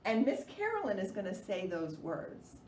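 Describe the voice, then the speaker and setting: A woman hosting storytime for young children, reading aloud in an animated, expressive way. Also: medium pitch, moderate pace. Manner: animated, expressive, with theatrical gasps. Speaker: children's librarian and storytime host. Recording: roomy, distant mic, faint noise.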